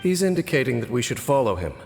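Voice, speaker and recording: A man playing a stoic, serious warrior guide in a video game, speaking formally and in a measured way. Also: medium pitch, big pitch swings, vocal fry, in a large hall, faint music behind. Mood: grave, composed.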